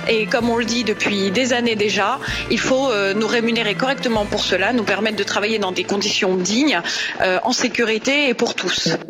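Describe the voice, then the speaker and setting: A woman giving a measured, earnest public appeal as a healthcare worker. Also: medium pitch, over music. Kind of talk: spoken testimony, public appeal.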